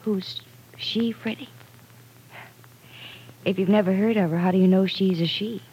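A woman's voice: mid-range voice, fairly even intonation, warm tone, slight background noise, lightly acted, low-quality recording. An actress playing a direct, earnest woman in an old-time radio drama, questioning firmly and persistently. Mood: stern, reproachful. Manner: firm, measured, probing.

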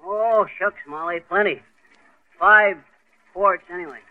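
An actor playing a boastful, folksy husband in a vintage radio comedy, chuckling and blustery. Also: male voice, sits high, unhurried, dry recording. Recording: tinny old radio broadcast.

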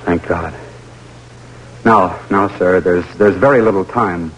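An actor playing an intense, driven American army lieutenant in an old radio drama, with a dramatic delivery. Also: male voice, low voice, slow, background noise. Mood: pleading. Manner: dramatic, urgent. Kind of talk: old-time radio drama acting, first-person narration.

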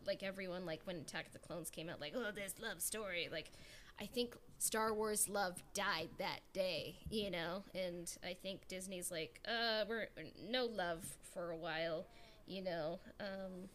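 A woman's voice: fairly low pitch, measured pace, a bit bright. A woman talking casually as a podcast panelist, feeling out her opinions in short, hesitant pieces. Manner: hesitant, piecemeal phrasing with frequent "like". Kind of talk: casual fan discussion. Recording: clear background noise.

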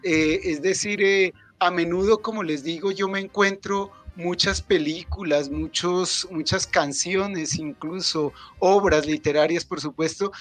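A man's voice: sits high, a bit bright, no room sound. A middle-aged man discusses literature as a guest author, explaining discursively with many hesitations. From Colombia. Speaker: author, guest at a book presentation.

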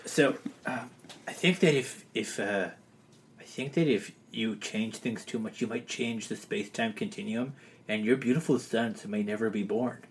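A man's voice: lowish voice, normal speed, a little hiss or hum. A man playing a camper in a comedy roleplay game, hesitant and stammering. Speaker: player.

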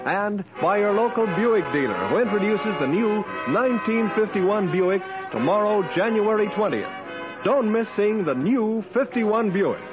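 Animated 1950s male radio announcer. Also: high voice, unhurried. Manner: upbeat, polished salesmanship. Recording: muffled old radio recording with music.

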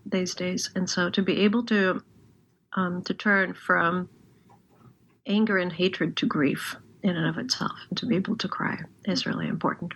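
A woman from Ukraine whose first language is Russian, speaking in a thoughtful, measured way with many pauses on a panel. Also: fairly low pitch, steady tone, a bit bright, lo-fi sound, slight background noise. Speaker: translator on a panel. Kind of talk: panel discussion.

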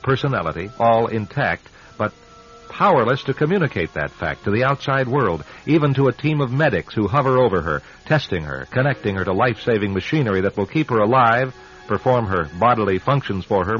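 A man hosting and narrating a dramatic radio anthology, grave, deliberate and suspenseful. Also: on the low side, fairly bright tone. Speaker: host and narrator. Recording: muffled vintage radio recording. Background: faint music.

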